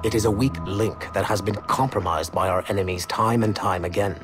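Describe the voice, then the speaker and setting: Game villain commander, played by a man: low-pitched, zealous, proud, deliberate phrasing, loud score underneath. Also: natural voice. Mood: firm objection. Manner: measured, deliberate, formal delivery. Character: stern, zealous male Templar field commander, calculating and proud. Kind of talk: scripted video game monologue and dialogue. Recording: loud music behind. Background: loud music.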